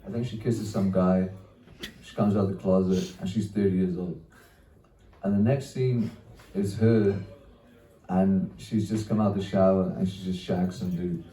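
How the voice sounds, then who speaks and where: Low-voiced man doing stand-up. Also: steady tone, unhurried, warm tone, distant mic. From Wales. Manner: self-deprecating, trails off into laughs, swears a lot. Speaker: stand-up comedian. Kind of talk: stand-up set, loose and rambling. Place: small comedy venue. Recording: echoey room, mic picked up from afar.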